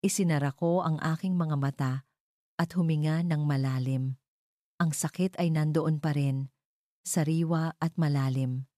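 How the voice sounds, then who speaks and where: A woman's voice: sits low, fairly even intonation, measured pace, mellow, no room sound, clean studio sound. A woman with a Filipino accent reading a dramatic first-person story as a scripted narration.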